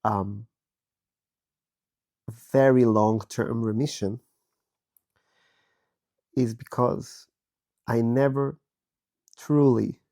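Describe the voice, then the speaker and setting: Israeli-born man in his 30s, raspy and hoarse from illness, unhurried candid monologue, low warm timbre. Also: fairly even intonation. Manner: slow, thoughtful, candid, with many pauses. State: hoarse from a virus. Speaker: podcast host. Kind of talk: personal reflective monologue. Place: bedroom, recording from bed.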